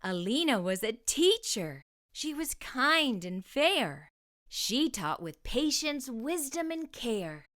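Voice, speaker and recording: High, animated woman reading to children. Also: slow. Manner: careful, storytelling delivery. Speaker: children's read-aloud host. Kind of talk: expressive storybook narration for children.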